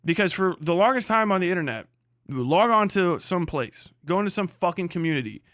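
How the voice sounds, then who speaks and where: High-pitched man on a blunt rant. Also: lively intonation, a little fast, a bit bright, no room sound, studio quality. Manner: blunt, heavily profane, hectoring; mocks others in put-on voices. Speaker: livestream host. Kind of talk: casual livestream rant.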